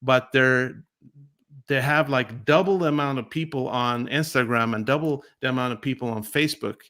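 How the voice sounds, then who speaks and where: A man's voice: medium pitch, moderate pace, sharp tone. A man co-hosting a casual investor livestream, rambling and often ending phrases with a questioning tag. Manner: rambling, frequent "right?" tags. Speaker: co-host.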